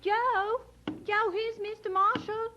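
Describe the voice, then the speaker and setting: An actress playing a cheerful, friendly rural wife in an audio drama. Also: female voice, very high-pitched, steady tone, slow, lo-fi sound, background noise.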